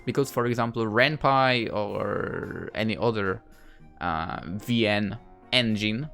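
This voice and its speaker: A man's voice: lowish voice, slow pace, vocal fry, fairly bright tone, soft background music. A man giving casual let's play commentary, rambling and thinking aloud. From Poland. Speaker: gaming YouTuber.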